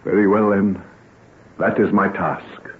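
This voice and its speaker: An actor playing a grave, remorseful gentleman doctor, formal and earnest, in an old-time radio drama. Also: low-pitched, fairly even intonation, measured pace. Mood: resolute, reassuring. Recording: tinny, noisy old radio recording.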